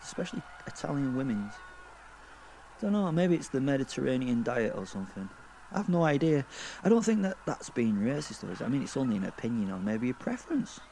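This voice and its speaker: A man playing a foul-mouthed, flippant werewolf killer who narrates a horror comedy audio drama in rambling, halting, sardonic asides. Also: mid-range voice, a little mellow, slight background noise.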